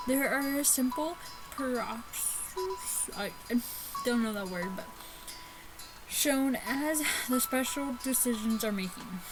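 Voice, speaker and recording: A woman with a high voice hosts a gaming video in a casual, chatty style. She stumbles and restarts when reading on-screen text aloud. Music plays clearly behind her voice. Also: poor audio. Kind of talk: casual let's-play commentary.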